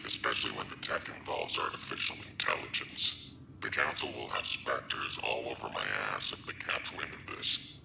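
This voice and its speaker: An extremely deep, gravel-crusted alien crime broker in a video game. The growl has a very sharp, forceful edge, and every line sounds like a threat wrapped in a business deal. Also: male voice, moderate pace, a little hiss or hum, exaggerated voice, low-quality recording. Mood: menacing, businesslike. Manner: transactional, threatening.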